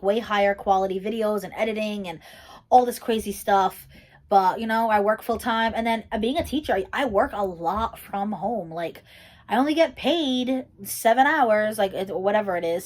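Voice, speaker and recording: A young woman who teaches and makes videos, talking casually through a get-to-know-me Q&A, rambling with lots of fillers and restarts. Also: mid-range voice. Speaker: YouTuber and teacher. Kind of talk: casual chatty Q&A vlog.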